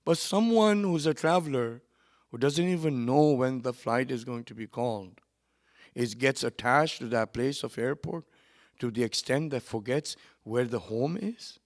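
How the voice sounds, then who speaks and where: A man giving a sermon at a memorial gathering, moving between quiet, conversational asides and loud, emphatic lines, with quick runs of recited Arabic verse. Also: medium pitch. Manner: swings from soft asides to loud emphatic preaching. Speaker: religious speaker at a memorial gathering. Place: hall at a community centre.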